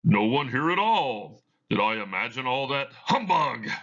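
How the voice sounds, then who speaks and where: A man's voice: mid-range voice, big pitch swings, unhurried, a bit bright, muffled recording, voice pushed up. A man voicing a frightened old miser, nervous, hesitant and pleading, in a theatrical reading of a play. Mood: doubting.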